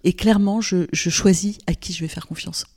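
A woman's voice: fairly low pitch, fairly even intonation, fairly quick, a little mellow, breathy edge. An older woman from Lebanon, a gynecologist speaking as a guest in an interview, thoughtful, with frequent hesitations and restarts. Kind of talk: reflective interview conversation.

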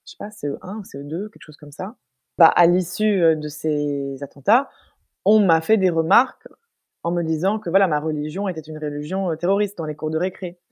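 A young woman from France speaks as an interview guest in a relaxed, conversational way. Her voice is low-pitched and mellow, and she thinks aloud, often hesitating and restarting her sentences. The recording is dry and close. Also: fairly quick, dry recording. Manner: reflective, many hesitations and restarts.

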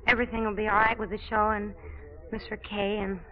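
An American actress playing a naive, devoted young stage hopeful in a 1940s radio drama. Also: female voice, medium pitch, little pitch movement, quick pace, lightly acted. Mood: wistful, hesitant. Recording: dull 1940s radio recording, faint music.

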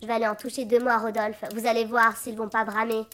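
An actress playing Santa's sarcastic, confrontational activist daughter in a comedic audio drama. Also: female voice, a little high, level tone, quick pace, a bit bright, close to an everyday voice. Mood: sarcastic, defiant. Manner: biting, confrontational. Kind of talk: comedic audio fiction acting.

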